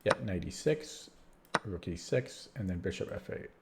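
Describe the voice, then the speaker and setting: A man's voice: low-pitched, expressive, moderate pace, background noise. A man live-streaming chess puzzles, talking casually to his chat, tired and rambling with many restarts, and starting to lose his voice. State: losing his voice.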